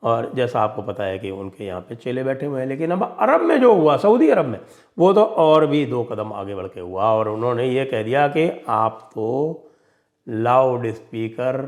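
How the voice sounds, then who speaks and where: A man's voice: medium pitch, sing-song, normal speed. A man delivering opinionated political and religious commentary in an emphatic, sarcastic and mocking manner. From India. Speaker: commentator and show host.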